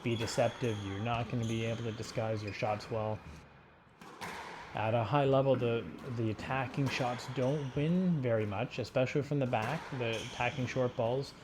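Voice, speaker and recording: A man with a medium-pitched voice gives instructional sports commentary as a coach. He explains in an informal, halting way, piecing his phrases together as he goes. Clear background noise with bouncing ball sounds runs behind his voice. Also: steady tone. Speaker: squash coach. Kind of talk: instructional sports commentary over drill footage.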